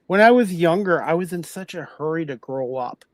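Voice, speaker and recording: A man in his fifties hosting a talk show, earnest and a bit rambling, often going back over his own words. His voice is somewhat high for a man and sounds dry and close. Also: animated, normal speed, dry recording. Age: 50s. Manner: earnest, rambling, repeats himself. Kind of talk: casual chat.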